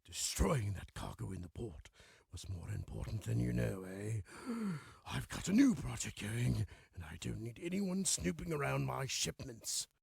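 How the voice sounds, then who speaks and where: A man playing a greedy, sly older crime boss in a video game, wheezy and gravelly, with an oily, pompous delivery and a habitual "eh?". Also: mid-range voice, big pitch swings, unhurried, a little mellow, no echo, heavily acted. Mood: scheming, smug. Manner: oily, pompous, ends phrases with "eh?". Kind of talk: video game cutscene acting.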